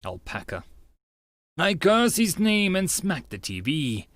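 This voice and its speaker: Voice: medium pitch, sing-song, slow pace, dry recording, clean studio sound. An audiobook narrator giving a theatrical, emphatic first-person reading of an anime-style story. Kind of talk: dramatic first-person reading of a visual novel.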